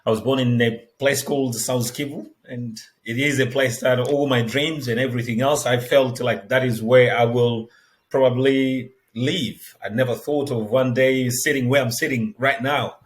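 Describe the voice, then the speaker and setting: Man in his 30s, from Congo, interview guest, measured and reflective, on a headset mic. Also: medium pitch, fairly even intonation. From Democratic Republic of the Congo. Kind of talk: telling a life story in an interview.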